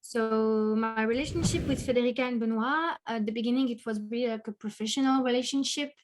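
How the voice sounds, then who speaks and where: A French woman, a cafe chef, chatting in English as an interview guest from her apartment, pausing to search for words. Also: fairly high pitch, fairly even intonation, background noise. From France. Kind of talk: casual interview chat.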